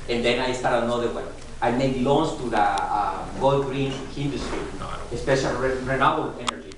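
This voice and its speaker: Man, Mexican accent, Spanish first language, enthusiastic broken-English presenter, higher voice, distant room mic. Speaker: product presenter. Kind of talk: class demonstration. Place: beauty school classroom. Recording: roomy, from far off, faint noise.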